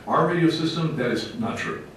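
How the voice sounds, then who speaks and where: A man's voice: lively intonation, a little fast, fairly bright tone. Echoey, distant man giving a public presentation. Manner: emphatic, plainspoken, folksy. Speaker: fire company officer giving a presentation. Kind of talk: public presentation to a council committee. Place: large echoey indoor meeting room. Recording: very echoey room, distant mic, faint rumbling noise.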